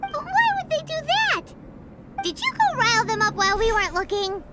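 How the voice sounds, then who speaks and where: A woman voicing a tiny, chatty, cheeky girl fairy companion in a video game, squeaky and childlike. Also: a little fast, noisy background. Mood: puzzled. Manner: exclamatory, cutesy, animated. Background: music.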